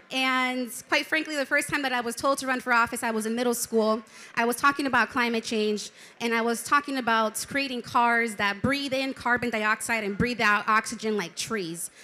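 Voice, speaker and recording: A woman's voice: fairly high pitch, pushed and bright. A young woman, born in Mexico and raised in Detroit, a city council member, speaking enthusiastically on a conference panel into a handheld mic. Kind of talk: panel discussion answers. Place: conference stage, indoors.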